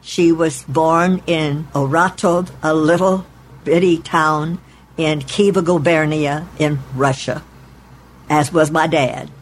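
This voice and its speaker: Woman in her eighties, from Oklahoma, deliberate recollection, faint background hiss. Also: deep voice, fairly even intonation. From Oklahoma, United States. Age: 80s. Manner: measured, deliberate, spells out names letter by letter. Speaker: oral history interviewee. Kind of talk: recorded oral history recollection. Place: her apartment in a retirement home.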